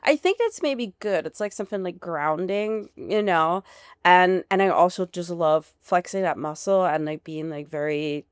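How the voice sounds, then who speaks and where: A woman's voice: on the low side, normal speed, smooth, no echo, studio quality. A woman with a slight German accent, a podcast guest chatting enthusiastically about herself and her interests. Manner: enthusiastic, chatty, restarts and fillers. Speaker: guest, founder of an alcohol-free bar. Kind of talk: casual interview chat.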